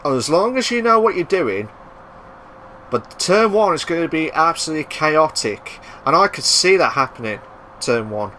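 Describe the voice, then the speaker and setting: A man giving live racing commentary, excitable and bursting into loud exclamations at the action. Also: a little high, moderate pace, a little hiss or hum. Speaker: race commentator.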